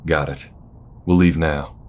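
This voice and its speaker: Man acting as a very deep-voiced folksy space bounty hunter. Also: steady tone, darkish tone, a little hiss or hum. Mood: urgent, steady. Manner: folksy, unhurried, reassuring. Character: folksy, loyal male space bounty hunter, steady and protective. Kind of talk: intimate audio roleplay acting.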